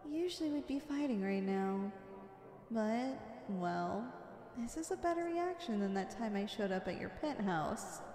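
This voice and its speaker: A woman's voice: on the high side, a little mellow. A woman playing a flirty, confident female supervillain in an ASMR roleplay, coy and teasing as she sweet-talks the listener. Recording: echoey with long reverb.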